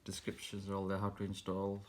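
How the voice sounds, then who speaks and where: Casual male vlogger, thirties, low voice, clear background noise. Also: monotone, fairly quick. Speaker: vlogger unboxing a product. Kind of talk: casual unboxing chat. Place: small room at home, indoors. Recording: clearly noisy home recording with clicks.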